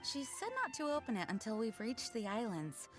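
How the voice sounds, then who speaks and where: A young woman knight commander speaks in a slightly high voice. She is formal, earnest and caring, in anime game style, over clear background music. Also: big pitch swings, fairly quick. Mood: gently stern.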